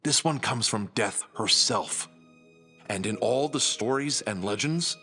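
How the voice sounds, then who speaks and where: A man acting a cold, commanding crime boss in an audio drama, measured and imperious. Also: mid-range voice, pushed and bright, faint music behind. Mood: probing, ominous. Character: cold, commanding male former syndicate boss.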